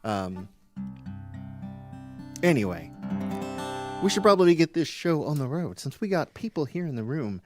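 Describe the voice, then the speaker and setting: A man casually hosting a live open mic webcast, with a halting delivery that gets easily sidetracked. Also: on the high side, big pitch swings, over music.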